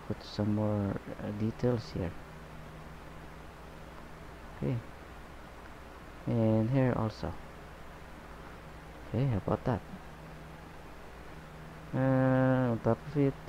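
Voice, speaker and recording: A man with a lowish, mellow voice walks viewers through a painting as he works. He talks in a relaxed, unhurried way, often saying "okay" and "guys". The recording sounds a little dull and lo-fi. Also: creaky, a little hiss or hum. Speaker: painting instructor. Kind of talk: painting tutorial narration.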